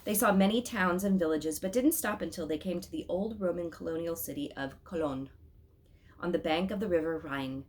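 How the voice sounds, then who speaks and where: A woman reading a storybook aloud as an expressive narrator. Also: fairly low pitch, moderate pace. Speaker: storybook reader.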